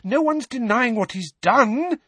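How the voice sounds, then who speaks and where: A man voicing a fussy, protective middle-aged mother in an audiobook, sharp, emphatic and scolding. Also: extremely high pitch, animated, measured pace, slightly rough, no echo, pitched above the performer's own voice, exaggerated voice. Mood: angry, rising. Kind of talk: audiobook character voice.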